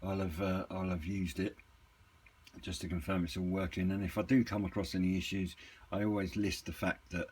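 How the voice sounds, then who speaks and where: A man giving a casual, unscripted product walkthrough in a hesitant, rambling, matter-of-fact way, with a slightly husky voice. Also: deep voice, flat delivery, normal speed, darkish tone. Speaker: hobbyist reviewer and seller of used CB radios.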